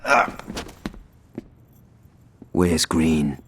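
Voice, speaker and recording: An actor playing a cocky, foul-mouthed, trigger-happy gangster in a video game, with blunt sarcasm. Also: male voice, very deep voice, lively intonation, extremely slow, fairly bright tone, husky, full character voice. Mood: sarcastic, irritated. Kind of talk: video game cutscene acting.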